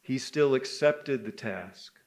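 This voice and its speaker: A man telling a story to a live gathering in a deliberate, dramatic way, with pauses, as the leader of the group. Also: mid-range voice, a little mellow. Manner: deliberate, pausing, addresses listeners as brothers. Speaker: storyteller leading a men's spirituality gathering.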